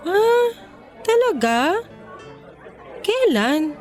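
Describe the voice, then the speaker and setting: Mataas ang boses, mabagal na maybahay. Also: female voice, extremely high pitch, big pitch swings, drawn-out words, a little mellow, faint music behind, exaggerated voice. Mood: suspicious, uneasy. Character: married housewife and mother, anxious, probing friend. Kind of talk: Filipino radio drama dialogue.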